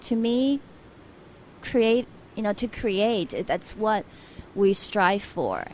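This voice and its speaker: A woman's voice: medium pitch, very animated, slow, a little mellow, slight background noise, poor audio. A young adult woman from Taiwan, a guest on a radio interview, speaking earnestly with frequent restarts.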